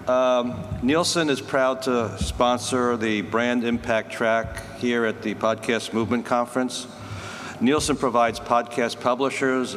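Man, formal conference introduction, measured delivery, hall reverb, faint background noise. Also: mid-range voice, a bit bright. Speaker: sponsor representative introducing speakers. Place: indoor conference stage.

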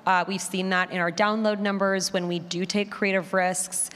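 A woman's voice: medium pitch, flat delivery, moderate pace, fairly bright tone. A woman speaking as a podcast producer, explaining her work in a panel discussion. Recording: long echo of a hall.

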